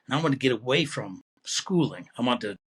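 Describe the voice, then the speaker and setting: Husky-voiced man in his sixties with a Connecticut accent. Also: medium pitch, expressive, a little fast. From Connecticut, USA. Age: 60s. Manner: easygoing, chuckling, telling his life story. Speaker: guest, retired Marine officer. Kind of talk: casual interview conversation.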